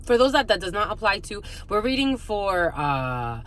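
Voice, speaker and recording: Casting: female spiritual reader, medium-pitched voice, improvised and casual, rambling, circles back over phrases. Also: sing-song, normal speed, a bit bright. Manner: rambling, frequent "like", repeats phrases. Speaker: spiritual reader hosting online readings. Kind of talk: improvised intuitive psychic reading, casual.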